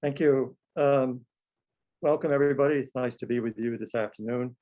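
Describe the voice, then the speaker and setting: A man, a school counsellor, speaking calmly and in a measured way during a webinar over a video call. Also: medium pitch. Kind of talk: webinar presentation.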